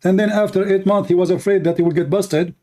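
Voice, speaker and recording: A man's voice: high voice, steady tone, fast, mellow. A man who speaks Arabic as his first language, hosting a live call-in show on religion and questioning a caller in an insistent, repetitive way. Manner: insistent, questioning, repeats himself. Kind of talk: conversational religious debate with a caller.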